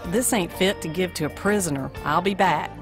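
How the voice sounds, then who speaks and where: A woman's voice: fairly low pitch, moderate pace, close to an everyday voice. A woman playing a brassy, folksy small-town diner waitress in an amateur audio play, with blunt, informal talk. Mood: chatty, folksy. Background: music.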